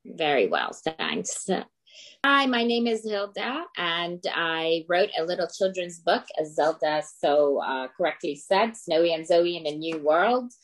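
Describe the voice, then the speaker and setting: A woman's voice: on the low side, very animated, unhurried, low-quality recording. A middle-aged Lebanese woman who grew up in Greece, talking casually as an interview guest. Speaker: guest, children's book author.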